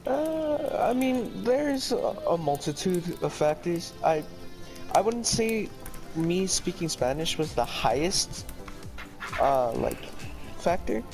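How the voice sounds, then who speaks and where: A young man from El Paso, answering interview questions in a relaxed, rambling way. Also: sits high, takes it slowly, soft background music, rough recording. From El Paso, Texas.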